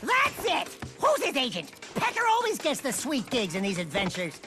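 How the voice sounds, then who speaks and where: A woman's voice: sits high, huge pitch swings, moderate pace, fairly bright tone, faint music behind, full character voice. A woman voicing a small, cocky, cowardly animal sidekick in a video game, brash and whiny with wisecracks. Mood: indignant. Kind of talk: cartoonish video game acting.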